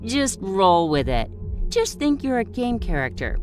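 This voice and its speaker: Woman playing unhinged female game mistress, theatrical, taunting, dramatized story, lively swings in pitch, music underneath. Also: a little slow. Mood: gleeful, menacing. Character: gleeful, unhinged woman running a deadly real-life game.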